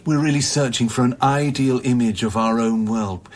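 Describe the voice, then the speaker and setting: An actor in a radio play, playing a bitter, volatile space-station scientist who philosophizes intensely and haltingly, dropping at times toward a breathy near-whisper. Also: male voice, medium pitch, steady tone, darkish tone, lightly acted. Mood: bitter, impassioned. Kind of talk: dramatic radio play acting.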